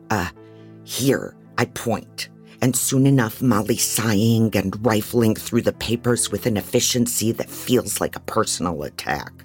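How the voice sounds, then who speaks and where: An actress playing a blunt, disorganized female private investigator, narrating an audio drama in a wry way. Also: rumbling low.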